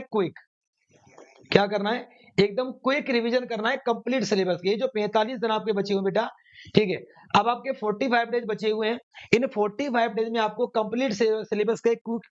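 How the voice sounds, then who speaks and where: A man lectures students as an exam coach. He drives points home by repeating phrases and posing questions that he answers himself. The recording is somewhat muffled and lo-fi. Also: high voice. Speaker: maths teacher running online exam classes. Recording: dull, lo-fi sound.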